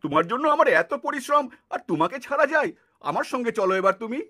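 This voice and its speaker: A man's voice: very high voice, very animated, fast, bright, forceful tone, heavily acted. A man voicing a sly, lecherous snack-seller villain in a puppet cartoon, wheedling and pushy. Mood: wheedling, menacing.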